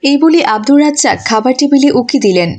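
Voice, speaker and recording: A woman narrator with a high-pitched voice. She reads a scripted children's cartoon story. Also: animated, brisk, a little mellow.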